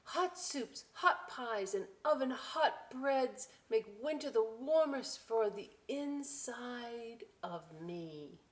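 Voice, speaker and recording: A woman reading aloud to children as a story time host, expressive and drawn out, with chatty conversational asides. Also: on the high side, slow, hardly any pauses. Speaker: library story time reader.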